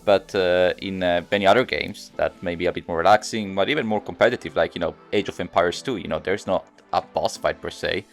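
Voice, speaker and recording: Male panelist, deep pitch, measured and opinionated, casual gaming discussion over faint music. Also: gravelly. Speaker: podcast panelist.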